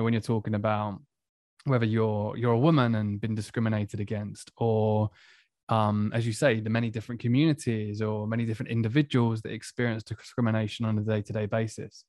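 A man's voice: lowish voice, darkish tone, no echo, studio quality. A man with an Essex accent hosting a podcast interview, talking in an enthusiastic and affirming way. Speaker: podcast host.

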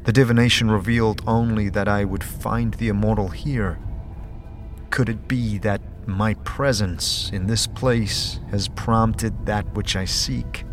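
A man's voice: on the low side, fairly even intonation, a little slow, faint music behind. A man playing a scheming, haughty necromancer in a fantasy audio drama, pompously and formally intoning his journal. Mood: brooding, intrigued.

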